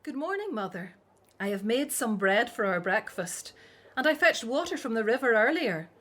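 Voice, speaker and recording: A woman's voice: a little high, sing-song, normal speed, a bit bright. A woman playing a dutiful, outspoken grown daughter in an audio drama set in ancient Egypt. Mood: chatty, informative.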